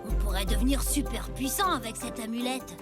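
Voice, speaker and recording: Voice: mid-range voice, a little fast, natural voice. A performer voicing a bratty, scornful prehistoric child bully in a French cartoon, with a sneering, mocking delivery. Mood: scheming. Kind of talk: French animated cartoon dubbing. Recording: music bed under the voice.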